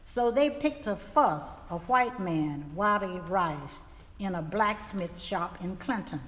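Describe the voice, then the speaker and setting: A woman's voice: medium pitch, expressive, takes it slowly, fairly bright tone. A woman playing a grave 1870s widow, giving solemn, plainspoken testimony in a dramatic reading. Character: grave 1870s widow testifying about her husband's killing. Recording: reverberant hall.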